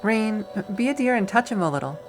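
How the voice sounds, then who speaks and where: A man voicing a dominant woman who teases and controls in a soft, coaxing purr, in a visual novel. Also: high voice, lively intonation, fairly quick, a little hiss or hum, pitched above the performer's own voice, low-quality recording. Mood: teasing, coaxing. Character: Violet, purring dominant woman, teasing and controlling.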